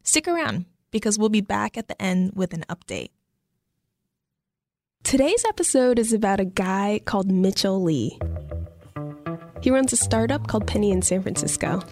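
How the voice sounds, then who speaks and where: A woman co-hosting a podcast, reading scripted narration in an even, conversational way. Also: medium pitch, moderate pace, long pauses, faint music behind. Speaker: podcast co-host.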